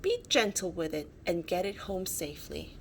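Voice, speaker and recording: A woman voicing a big, gentle, amused male dinosaur in a children's storybook, advising kindly. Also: fairly low pitch, very animated, normal speed, slight background noise. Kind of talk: children's storybook character reading.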